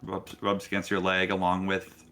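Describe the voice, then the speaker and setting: A man serves as game master for a tabletop session. He improvises scenes in a casual, halting manner, talking directly to his players. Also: low voice, monotone, sharp tone.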